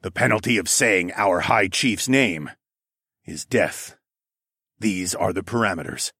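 A man voicing a stern, placid wolfman leader with a slow, growling, commanding delivery, as in an audiobook. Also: low-pitched, sharp tone, breathy edge, dry recording, studio quality, heavily acted. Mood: grave, placid. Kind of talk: audiobook character voice.

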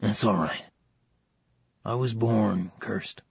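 A man voicing a brooding, cursed male dragon shifter who speaks tenderly and solemnly in a hushed tone. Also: fairly even intonation, slow pace, no room sound, dull audio, heavily acted. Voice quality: gravelly, husky low voice.

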